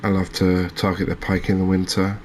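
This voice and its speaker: A man giving soft-spoken ASMR commentary over a game, relaxed and musing, with unhurried pauses. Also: sits low, slight background noise. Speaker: gaming video host.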